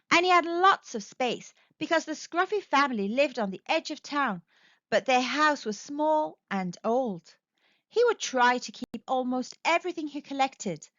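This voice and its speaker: A woman gently and expressively reading a children's storybook aloud. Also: high-pitched, no room sound, lo-fi sound. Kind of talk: children's read-aloud narration.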